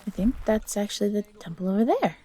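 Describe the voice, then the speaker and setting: A woman voicing a young fox girl, timid but eager and grateful, soft and breathy, in a scripted audio roleplay. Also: mid-range voice, big pitch swings, fast. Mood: curious, helpful.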